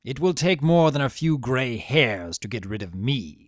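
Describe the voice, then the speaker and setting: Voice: mid-range voice, big pitch swings, normal speed, dry recording, lightly acted. A reader voicing a sly, theatrical old male dragon-like creature, dry and sardonic, in a fan fiction reading. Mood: dry, teasing. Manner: dry, sardonic, mock-dramatic.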